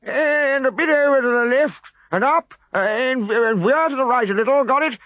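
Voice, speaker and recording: A man voicing a bossy, excitable Gaulish village chief who blusters and barks orders. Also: extremely high pitch, moderate pace, dry recording, highs cut off, voice pushed up.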